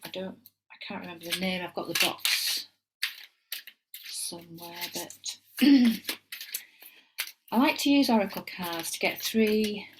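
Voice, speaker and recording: Woman, Yorkshire accent, middle-aged, tarot reader, slow talker, meandering chat. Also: on the low side, noisy background. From England. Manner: chatty, meandering with asides. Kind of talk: casual tarot reading to camera.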